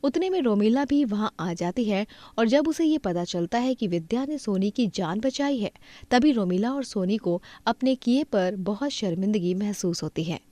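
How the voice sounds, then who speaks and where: A woman's voice: medium pitch, expressive, moderate pace, warm tone, no room sound. Woman narrating a story, dry sound. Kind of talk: scripted storytelling narration for cartoon moral story.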